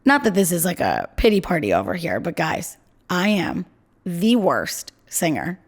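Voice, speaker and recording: A young woman co-hosting a podcast from home in casual chat, talking in a chatty, self-deprecating way with a low voice. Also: huge pitch swings, normal speed, slightly rough. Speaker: podcast co-host.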